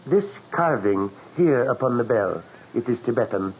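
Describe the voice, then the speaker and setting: An actor playing a grave, superstitious curio seller in a 1940s radio mystery, speaking slowly and formally with halting phrases. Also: male voice, mid-range voice, lively intonation, darkish tone, close to an everyday voice. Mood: solemn, ominous. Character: grave, superstitious male curio seller guarding an ominous legend. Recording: dull, noisy old 1940s radio recording.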